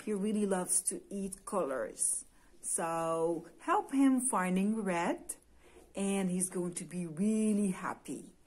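Slow-talking woman teaching small children. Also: mid-range voice, darkish tone. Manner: simple, repetitive, encouraging. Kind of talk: puppet-show lesson for small children. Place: indoor room by a curtained window.

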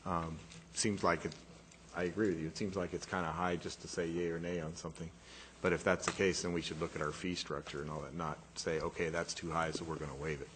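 A man's voice: low-pitched, flat delivery, fast, fairly bright tone, a little hiss or hum. A man on a city council giving a firm, reasoned view in a council chamber. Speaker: council member. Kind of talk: council meeting debate.

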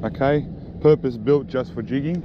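A man's voice: medium pitch, moderate pace. A middle-aged man chatting casually about his fishing gear, filling his talk with "okay" and "all right," recorded on an action camera on a small boat. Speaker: fishing vlogger. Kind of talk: casual commentary while fishing. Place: small boat on a harbour, outdoors. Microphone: action camera on the angler. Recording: dull action-camera audio with wind noise. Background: wind and water noise.

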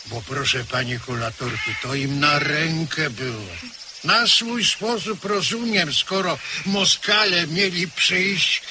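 Village priest, homely, measured, slow, slightly high voice, hissy old recording. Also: male voice. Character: gentle older male village priest. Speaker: parish priest. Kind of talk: 1970s radio drama acting.

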